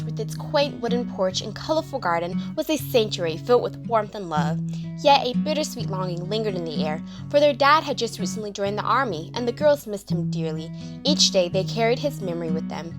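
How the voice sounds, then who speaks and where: A woman narrates a scripted story for children. Her voice sits at an average pitch for a woman. She reads as the storyteller of an audio drama. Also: animated, a little fast, over loud music.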